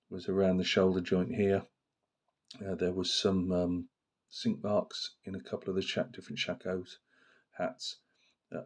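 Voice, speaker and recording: Hoarse, deep-voiced man chatting about a hobby. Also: a little mellow. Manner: rambling, many fillers and restarts. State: hoarse from a slight cold. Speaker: miniature painting channel host. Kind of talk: casual hobby talk to camera.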